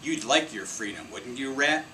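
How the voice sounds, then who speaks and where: A man's voice: medium pitch, lively intonation, moderate pace, natural voice. A man voicing a sullen, troublemaking schoolboy in a storybook, defiant and protesting. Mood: taunting. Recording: distant mic, clear air-conditioning hum.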